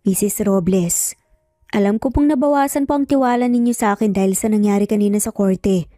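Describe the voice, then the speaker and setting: A woman's voice: medium pitch, a little fast, warm tone, no room sound, faint music behind, close to an everyday voice. A woman voicing a resolute, principled woman lawyer with an earnest, emphatic way of talking in a dramatic story. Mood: determined, reassuring.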